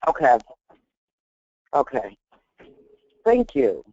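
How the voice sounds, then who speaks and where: A man's voice: huge pitch swings, drawn-out words, dark tone, breathy edge. A man calling into a conference call, politely asking questions over a muffled line. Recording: dry, muffled low-fi conference call audio.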